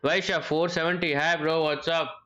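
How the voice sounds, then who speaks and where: A man's voice: on the high side, level tone, moderate pace, pushed and bright, dull audio. A man, chatting casually with viewers as he streams a game, often repeating short phrases. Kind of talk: casual live gameplay chat with viewers.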